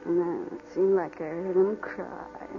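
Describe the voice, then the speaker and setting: A woman's voice: fairly low pitch, fairly even intonation, measured pace. An actress playing a plucky, tender young working woman and mother in 1940s radio drama style. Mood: dreamy, anxious. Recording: muffled vintage radio recording, faint music.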